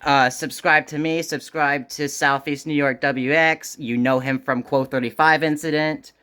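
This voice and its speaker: A man's voice: fairly high pitch, fairly even intonation, measured pace, pushed and bright, smooth. A man hosting an amateur gadget video in casual, unscripted talk, muttering informally as he fiddles.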